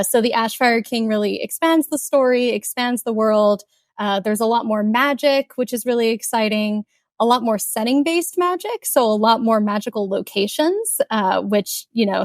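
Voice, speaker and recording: A young woman from Kuwait, an author talking casually in an interview, chatty with many fillers and soft laughs. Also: fairly high pitch, moderate pace, no room sound, studio quality. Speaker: author guest.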